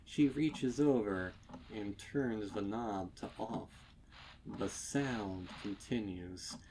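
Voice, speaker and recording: A man narrating a dark story with intense, theatrical delivery and deliberate phrasing. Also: lowish voice, poor audio. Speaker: fan fiction narrator. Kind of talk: dramatic scripted horror story narration.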